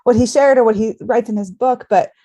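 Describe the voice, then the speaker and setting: A woman's voice: on the high side, fairly quick, warm tone. A woman hosting a medical podcast conversation, speaking earnestly and emphatically, often posing rhetorical questions. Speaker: podcast host and former travel nurse.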